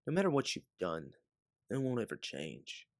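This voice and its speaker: Man voicing earnest, low-voiced loyal companion Aleph. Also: huge pitch swings, moderate pace, no echo, clean studio sound. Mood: fond. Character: Aleph, devout, loyal, forgiving companion and resistance believer.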